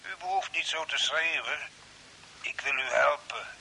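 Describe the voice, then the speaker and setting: An actor playing a mysterious, secretive stranger in an old radio drama, speaking in a measured, guarded way through a radio filter. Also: male voice, a little high, vocal fry, pushed and bright, heavily acted. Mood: secretive, warning. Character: mysterious, secretive male stranger calling over space radio. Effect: radio transmission filter. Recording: thin radio voice with faint hiss.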